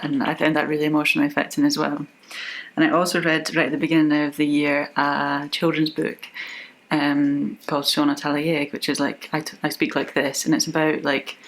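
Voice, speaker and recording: A woman's voice: deep voice, poor audio. A young woman book vlogger chatting casually, with many fillers and self-corrections. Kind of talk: casual chat about books.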